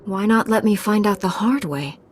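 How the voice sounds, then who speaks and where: Woman playing a resolute Jedi heroine, mid-pitched. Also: moderate pace, husky, close to an everyday voice. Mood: defiant. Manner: firm, direct, assured. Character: resolute, confident young female Jedi knight. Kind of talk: video game acting.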